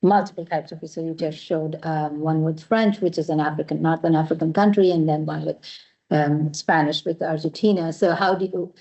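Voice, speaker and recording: A woman with a low, mellow voice questions presenters at a school board meeting. She speaks in a measured, hesitant way, and the audio sounds dull and muffled. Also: fairly even intonation. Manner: measured, hesitant with many ums. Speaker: board member.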